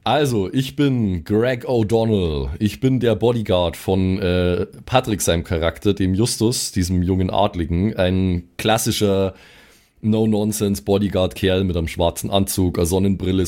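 A man's voice: sits low, steady tone, normal speed, mellow. A man casually talking as a player in a tabletop role-playing game, presenting his character.

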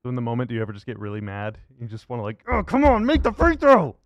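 Man, rapid talker, dry close sound, mid pitch, informal sports podcast interviewer. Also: huge pitch swings, no echo, lo-fi sound. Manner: informal, often says "like". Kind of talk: casual sports chat.

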